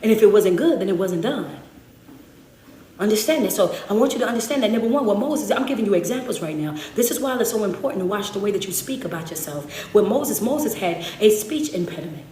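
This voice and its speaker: A woman's voice: mid-range voice, lively intonation, fairly quick, warm tone, reverberant, slight background noise. A woman motivational speaker giving a preaching-style talk, emphatic and full of repeated refrains.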